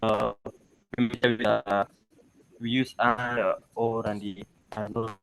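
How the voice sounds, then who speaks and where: A man's voice: on the low side, level tone, takes it slowly, gravelly, fairly bright tone, a little hiss or hum, poor audio. A man answering a question on an online call, hesitant and full of fillers. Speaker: student on a call. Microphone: online call mic.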